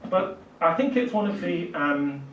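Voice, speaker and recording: A man's voice: sits high, expressive, muffled recording. Older man lecturing from across a roomy space. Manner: hesitant, halting delivery with reflective pauses. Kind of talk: public lecture on literature and music. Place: small indoor lecture room, at a lectern. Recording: roomy, distant microphone, faint hiss.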